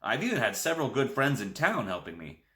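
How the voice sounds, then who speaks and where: A man voicing an excitable old grandfather who runs a country store, talking in an emphatic folksy way. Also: mid-range voice, a little fast, echoey room. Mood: earnest.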